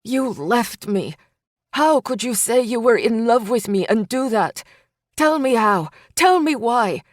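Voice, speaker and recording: A woman's voice: medium pitch, a little slow, dry recording, studio quality, lightly acted. A woman voicing a heartbroken, torn young man in an audiobook, his speech anguished and pleading. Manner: anguished pleading, breaking between hush and outburst.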